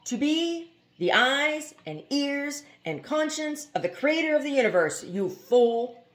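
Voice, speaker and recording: A woman's voice: high-pitched, sing-song, measured pace, voice pushed up. A woman voicing a whimsical, earnest male writer in a storybook reading, with an emphatic, declamatory delivery. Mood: grand, playful.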